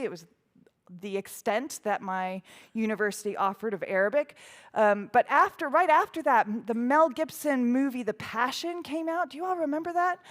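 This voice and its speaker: A woman priest in her thirties from the Houston area, giving a chatty storytelling talk with fillers and asides. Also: on the high side. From United States (Houston area). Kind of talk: storytelling talk with audience quiz.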